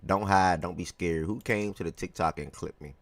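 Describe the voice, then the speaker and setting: Deep-voiced man hosting a casual live sports show. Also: normal speed, no echo. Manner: chatty, greets chat viewers by name, relaxed and rambling. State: slightly hoarse from yelling the day before.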